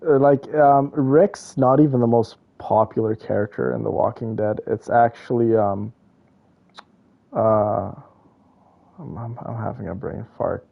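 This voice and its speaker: A man streaming a game and chatting with his viewers. His mid-pitched voice is creaky with fry, and he rambles through opinions in casual slang. The sound is a little dull. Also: steady tone, unhurried. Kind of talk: casual chat with viewers while playing.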